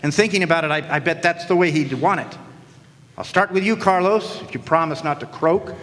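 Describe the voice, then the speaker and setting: A man's voice: on the high side, fairly quick, a bit bright, lightly acted. Man playing cocky private eye, big hall echo. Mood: sardonic. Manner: theatrical, sardonic, slangy delivery. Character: wisecracking, cocky hard-boiled male private detective. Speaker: narrator and lead actor in radio play. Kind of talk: hard-boiled detective radio play acting. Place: live radio play in a hall. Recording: live stage, long hall reverb.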